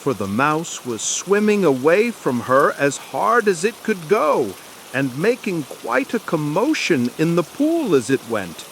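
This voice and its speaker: An older man gently reading a bedtime story as an audiobook narrator. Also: a little high, very animated, unhurried, a little hiss or hum, low-quality recording.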